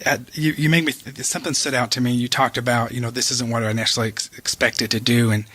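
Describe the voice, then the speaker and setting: A man's voice: mid-range voice, flat delivery, quick pace, bright, forceful tone, slightly rough. A middle-aged American man hosting a casual interview, rambling a little with stop-start phrasing. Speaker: podcast host.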